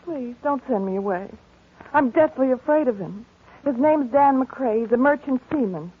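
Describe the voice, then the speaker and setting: Pleading young damsel, old radio sound. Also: female voice, high-pitched, expressive, moderate pace, husky. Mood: anxious, coy. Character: pleading, frightened young woman, a damsel in distress. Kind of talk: 1940s radio drama acting. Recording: muffled vintage radio, faint noise.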